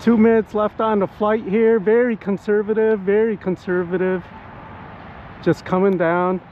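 A man giving casual, excitable live commentary outdoors to viewers as he pilots a model plane. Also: high-pitched, moderate pace. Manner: excitable, whooping, talking to viewers while piloting. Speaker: hobbyist RC pilot and vlogger. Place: outdoors at a flying spot in wind and rain. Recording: muffled outdoor audio with background noise.

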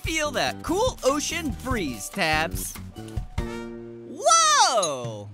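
An actor playing an excitable, curious young boy explorer in an educational children's cartoon, with exclamatory, wide-eyed delivery. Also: mid-range voice, very slow, loud music behind the voice, heavily acted. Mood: excited.

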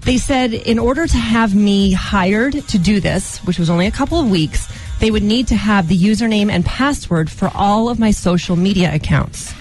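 A woman's voice: mid-range voice, normal speed, a little mellow, soft background music. A woman with an American accent co-hosting a radio show in casual, insistent banter. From Colorado, United States. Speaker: radio morning show co-host.

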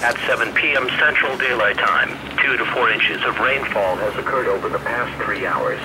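A man's voice: mid-range voice, moderate pace. A man's synthetic-sounding voice reading a formal, impersonal emergency weather bulletin from a radio speaker. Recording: noisy weather radio speaker, re-recorded in room.

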